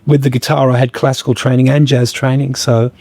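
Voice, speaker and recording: Man, slightly croaky, mellow tone, dry close sound, reflective guest who stops and restarts a lot. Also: mid-range voice, flat delivery, dry recording. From Australia. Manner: halting, many fillers and restarts. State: a bit croaky. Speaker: guest musician. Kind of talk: reflective podcast conversation.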